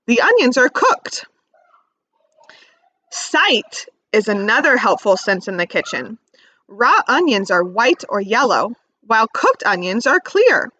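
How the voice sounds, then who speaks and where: A woman teacher reading aloud to young children, expressively stressing key words and pausing to ask simple questions. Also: on the high side, a bit bright, poor audio. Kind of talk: read-aloud lesson with questions to young listeners.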